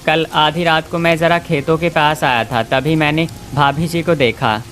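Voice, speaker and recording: A man's voice: on the high side, steady tone, normal speed, fairly bright tone, soft background music, lightly acted. A man voicing a helpful, earnest villager in a cartoon story. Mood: earnest, informing. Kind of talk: Hindi cartoon story voice acting.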